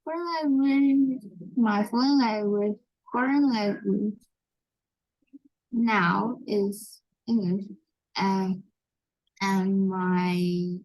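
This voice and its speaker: Slow, halting woman with Vietnamese accent. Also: mid-range voice, fairly even intonation, dull audio. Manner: halting, searching for words, frequent restarts. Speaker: English learner. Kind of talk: online English lesson conversation.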